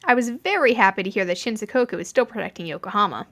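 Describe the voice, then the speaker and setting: A woman's voice: mid-range voice, lively intonation, quick pace, fairly bright tone. A woman voicing a teasing male detective, a smirking troublemaker with a chirpy, sing-song delivery in a fan story.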